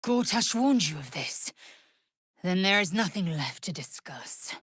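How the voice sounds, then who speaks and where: A woman's voice: on the low side, big pitch swings, measured pace, a bit bright, husky, dry recording, clean studio sound, exaggerated voice. An actress playing a fierce, proud young alien warrior woman in a fantasy video game, speaking in an imperious, clipped, scornful way with formal phrasing. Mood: brisk, commanding.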